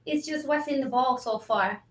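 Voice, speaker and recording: A woman's voice: sits high, normal speed, natural voice. A woman playing a put-upon, deferential young kitchen helper in a staged reading, guarded and compliant. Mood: resigned, guarded. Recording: echoey, distant from mic.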